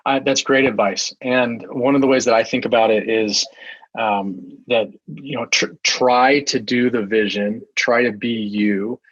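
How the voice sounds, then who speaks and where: A man's voice: medium pitch, fairly even intonation, unhurried, a bit bright, no room sound. A man hosting a faith-minded interview podcast, thinking aloud and offering advice. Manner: reflective, thoughtful advice. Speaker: podcast host. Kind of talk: conversational interview. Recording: dull, dry lo-fi sound.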